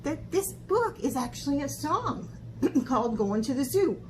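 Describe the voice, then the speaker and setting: A woman's voice: sits high, big pitch swings, unhurried, darkish tone. A woman hosting a children's art camp, talking casually and chattily to young viewers. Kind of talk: casual talk to children, read-aloud. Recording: clear background noise.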